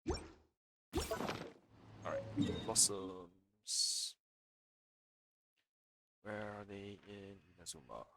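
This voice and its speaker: A man's voice: low-pitched, fairly even intonation, very slow, pauses often, pushed and bright, a little hiss or hum. A man casually commentating on a game live, thinking aloud in a hesitant way. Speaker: game streamer.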